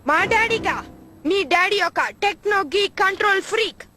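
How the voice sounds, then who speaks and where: Very high-pitched cheeky cartoon boy. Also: normal speed, pushed and bright, faint music behind. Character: spirited young boy, plain-spoken and cheeky cartoon kid. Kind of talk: dubbed cartoon acting.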